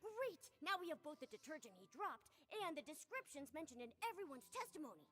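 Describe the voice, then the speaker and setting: A woman voicing a small, excitable and eager companion character in a video game, with music behind. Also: high voice, a little fast.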